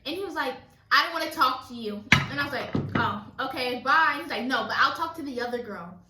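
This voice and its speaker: High-pitched young woman, echoey and distant. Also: brisk, very noisy. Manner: animated retelling, quoting dialogue with many "like"s. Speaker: vlogger. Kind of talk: casual storytelling chat during a mukbang. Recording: roomy echo, distant mic.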